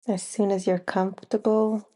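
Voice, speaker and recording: A woman guiding a yoga nidra relaxation, speaking in short, unhurried phrases with long pauses between them. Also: mid-range voice, little pitch movement, warm tone. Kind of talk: slow guided relaxation meditation.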